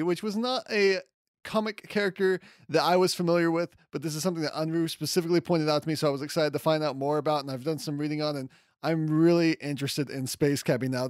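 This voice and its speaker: A man's voice: a little high, no echo, studio quality. A man hosting a podcast in a casual, conversational way, with enthusiasm and self-deprecating asides. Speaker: podcast host. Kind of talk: casual comics news commentary.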